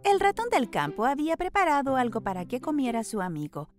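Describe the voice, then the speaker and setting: High, animated female storyteller over music. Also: a little fast. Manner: expressive storytelling delivery. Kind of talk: scripted children's story narration.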